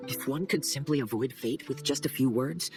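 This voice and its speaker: A man voicing a calm, poetic young wanderer in a video game, measured, polite and gently philosophical. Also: medium pitch, music in the background, natural voice. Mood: gently reassuring.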